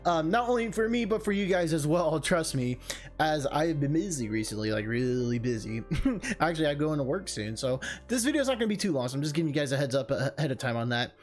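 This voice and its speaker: A man's voice: a little high, lively intonation, fast talker. A man hosting a casual, chatty let's-play, rambling and interrupting himself with enthusiastic asides. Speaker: video game streamer and host. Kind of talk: casual, chatty let's-play commentary with some read-aloud game text. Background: game background music.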